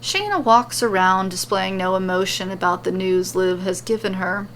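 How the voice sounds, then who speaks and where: Woman storyteller, expressive scripted narration, creaky voice, background noise. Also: mid-range voice, normal speed, poor audio.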